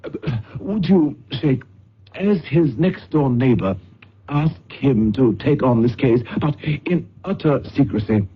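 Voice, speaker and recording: An actor playing an anxious, pompous English lord, blustering and stammering in an old-time radio drama. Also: male voice, medium pitch, expressive, takes it slowly, muffled recording, a little hiss or hum. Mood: pleading. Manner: blustering, stammering, clipped phrases.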